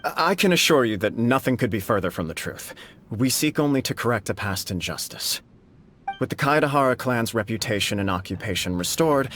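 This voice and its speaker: A man's voice: medium pitch, fairly bright tone, lightly acted. A man voicing a composed noble official who speaks in a polished, formal and carefully persuasive way, in a game cutscene. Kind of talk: video game cutscene dialogue.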